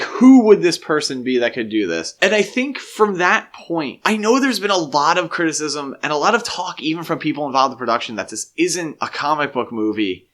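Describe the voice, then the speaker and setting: A man's voice: fairly high pitch, fairly bright tone. Man co-hosting a podcast, analytical. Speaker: podcast co-host. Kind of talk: casual film discussion.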